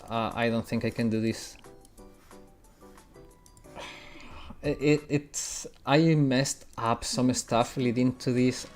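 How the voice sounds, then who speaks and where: Gaming streamer, male, from Italy, mixed British/American English accent, medium pitch, chatty, faint game music underneath. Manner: chatty, answers chat while playing. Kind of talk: casual live-stream chat.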